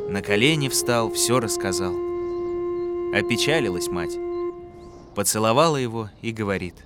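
A man narrating a folk tale in a calm, rhythmic storytelling voice. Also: fairly low pitch, normal speed. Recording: clear music behind.

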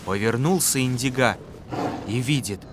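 A man narrating a folk tale in a calm, rhythmic storytelling voice. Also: mid-range voice, measured pace, noisy background. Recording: clear music behind.

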